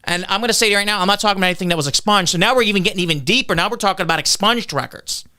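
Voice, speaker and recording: A man's voice: high voice, racing. A man, a political candidate on a podcast, arguing back defensively and lecturing on legal details. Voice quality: loud voice.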